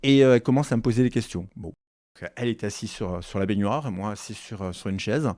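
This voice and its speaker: Chatty man retelling stories, low voice. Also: quick pace, warm tone, dry recording, clean studio sound. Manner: chatty, repeats his own phrases, acts out quoted dialogue. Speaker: guest, real estate agency founder. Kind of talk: casual interview storytelling.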